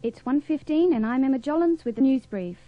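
Lady newsreader, really high voice, dry lo-fi radio sound. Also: animated, brisk. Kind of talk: scripted news brief. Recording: dull lo-fi radio recording.

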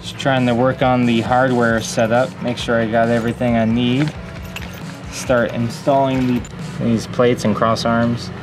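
A man explains a hands-on job in a casual, practical way as he works. Music is clearly heard behind his voice. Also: medium pitch, flat delivery, unhurried. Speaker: crew member on an installation job.

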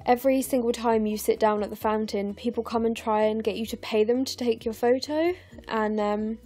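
A young English woman in her twenties, narrating her scrapbooking in a chatty, rambling way with lots of fillers, her voice croaky and tired late at night. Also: medium pitch, darkish tone. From England. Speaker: scrapbooking vlogger. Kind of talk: casual voice-over narration of a craft process. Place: home, recorded late at night.

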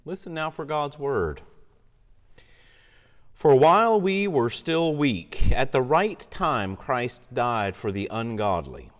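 A man's voice: mid-range voice, expressive, takes it slowly. A middle-aged male pastor reading scripture firmly on a lapel mic in a church sanctuary. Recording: reverberant sanctuary.

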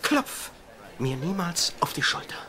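An actor playing a gruff, sardonic mentor doctor in a dubbed TV sitcom, curt and dismissive. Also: male voice, sits high, big pitch swings, measured pace, sharp tone, slight background noise, full character voice. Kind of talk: German-dubbed TV sitcom acting.

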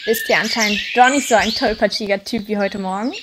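A woman's voice: medium pitch, fairly even intonation, fast talker, very noisy, lightly acted. An actress playing a feisty, easily embarrassed young witch girl in a children's radio play. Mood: friendly, shy. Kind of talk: German children's audio drama acting.

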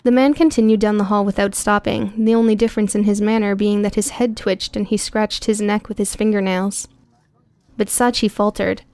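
A woman reading a prose story aloud in an even, clear storytelling voice, recorded close on a studio mic. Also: mid-range voice, moderate pace. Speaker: audiobook narrator. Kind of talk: scripted narration.

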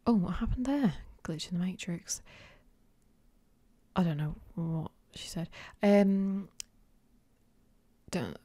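A woman whispering softly for an ASMR clothing try-on, hesitant and unsure, with many pauses and ums. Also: on the low side, lively intonation, husky, dry recording. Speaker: ASMR creator.

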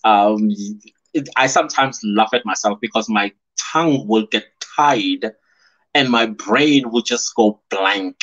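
A man's voice: lowish voice, a little slow. South african guy on a webcam live stream, chatty, laughs a lot, kinda muffled lo-fi sound. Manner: chatty and animated, laughs often, reads out viewer comments. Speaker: YouTuber hosting a live stream. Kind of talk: casual live-stream chat. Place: small indoor room at home. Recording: slightly dull lo-fi stream audio.

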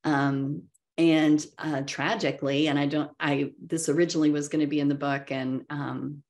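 Low-voiced woman, reflective author guest. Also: flat delivery, normal speed, darkish tone. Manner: reflective, digressive. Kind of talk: conversational book discussion. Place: indoor home room, video call. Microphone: video call computer mic.

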